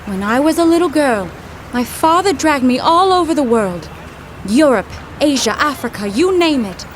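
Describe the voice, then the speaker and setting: An actress playing a plucky, practical young woman from the 1930s in a comic audio drama, brisk and matter-of-fact. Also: high voice, big pitch swings, a little hiss or hum. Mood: reflective. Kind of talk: period audio drama comedy acting.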